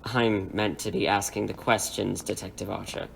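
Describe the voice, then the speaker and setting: A man playing a menacing crime boss in an audio drama, with a mockingly polite, formal, unhurried manner. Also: fairly low pitch, level tone, gravelly, noisy background. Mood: mocking menace. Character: suave, menacing male crime boss interrogating captives.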